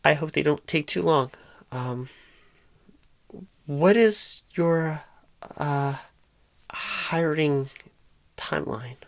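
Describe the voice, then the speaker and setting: A woman's voice: deep voice, extremely slow, vocal fry, pauses often, full character voice. A woman playing a shy, nervous, inexperienced job recruiter in an ASMR roleplay, stammering and hesitating awkwardly. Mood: unsure, hesitant.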